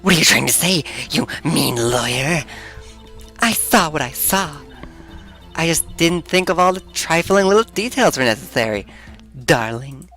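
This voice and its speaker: Guy putting on a ditzy flirty lady witness, coy and sing-songy, higher voice, livelier. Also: animated, unhurried, pushed and bright, soft background music, exaggerated voice. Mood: flustered, flirty. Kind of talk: voicing game characters.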